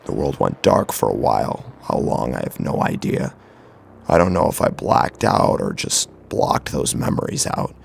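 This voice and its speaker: A man's voice: extremely low pitch, big pitch swings, moderate pace, creaky, a little hiss or hum. A man narrating a horror story in the first person, in a grave, brooding, dramatic manner.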